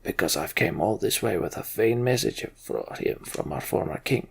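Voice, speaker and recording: Man voicing a gravelly, brooding old giant warrior. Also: lowish voice, expressive, moderate pace, heavily acted. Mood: menacing, brooding. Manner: brooding, bitter, blunt. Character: grim, scowling, centuries-old giant warrior, a battle survivor. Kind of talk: voiced fantasy game dialogue.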